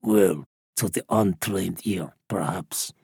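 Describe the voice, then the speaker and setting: Hushed, creaky, ancient smuggler, very low. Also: male voice, slow pace, no echo, studio quality, heavily acted. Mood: smug, dry. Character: ancient wrinkled male border smuggler, gruff and secretive.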